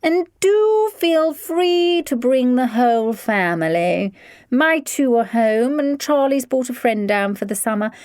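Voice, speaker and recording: Brit woman doing a posh drawly rich lady, high and slow, dry sound. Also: expressive, darkish tone, no echo. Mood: gracious. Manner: languid, affected. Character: posh, gracious upper-class woman.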